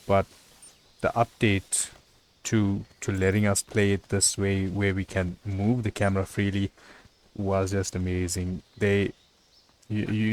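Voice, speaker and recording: A man giving casual live game commentary in a halting way, often repeating his words. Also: low-pitched. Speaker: gameplay commentator. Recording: clear background noise.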